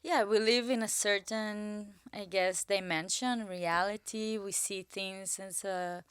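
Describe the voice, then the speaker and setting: A woman guest on a podcast, speaking in a thoughtful, soft-spoken way in casual chat. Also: medium pitch, steady tone, slow pace, smooth, no echo.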